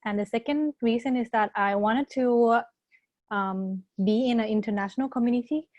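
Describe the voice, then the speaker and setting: A woman's voice: a little high, normal speed, a little mellow, dry recording, muffled recording. A woman from Thailand on a webinar panel over a video call, laying out her points in order with fillers.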